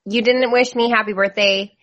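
A woman's voice: fairly high pitch, steady tone, moderate pace, lo-fi sound, slight background noise. A woman in her twenties chatting casually as a co-host, emphatic and insistent in how she talks. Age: 20s. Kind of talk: casual chat.

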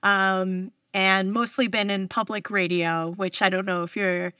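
A woman's voice: medium pitch, little pitch movement, a little slow, pushed and bright, smooth, dry recording, clean studio sound. A woman in her thirties from New York, a journalist guest chatting casually in an interview, laughing often between her words. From New York, US East Coast. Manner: chatty, laughs often mid-sentence, many fillers. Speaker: public radio journalist, guest.

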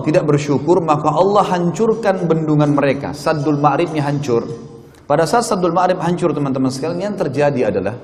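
A man's voice: a little high, darkish tone. A man giving a religious history lecture to a congregation as an Islamic preacher, explaining step by step and often checking that listeners follow. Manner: explanatory, addresses listeners, checks understanding with short tags. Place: mosque prayer hall. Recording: reverberant hall with long echo.

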